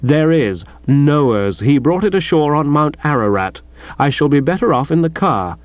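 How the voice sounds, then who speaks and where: A man's voice: a little high, animated, normal speed, no room sound, natural voice. Guy doing a posh young british toff in an audiobook, airy and affable, dry slightly muffled. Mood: a little frigid. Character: breezy, airy upper-class young gentleman.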